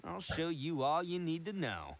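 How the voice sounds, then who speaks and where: A goofy, boastful young man in an anime game. His voice sits high and leaps around with theatrical swagger. The talk is slangy and full of bragging. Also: very animated, moderate pace, creaky. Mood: cocky. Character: brash, boastful young man, goofy self-proclaimed beetle expert.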